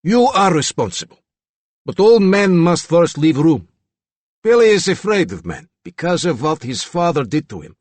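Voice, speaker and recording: A man's voice: a little high, very animated, normal speed, a little mellow, no echo, voice pushed up. Audiobook role: protective hard man done by a male reader, terse, stiff, grudging authority, dry studio. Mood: grudging, commanding. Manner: terse, stiff, formal, unidiomatic phrasing. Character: stern, commanding, protective, distrustful man.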